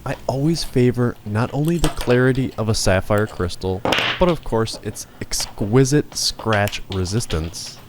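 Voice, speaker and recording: A man's voice: medium pitch, measured pace, noisy background. A man delivering scripted instructional narration with polished, formal phrasing. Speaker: narrator of a restoration tutorial.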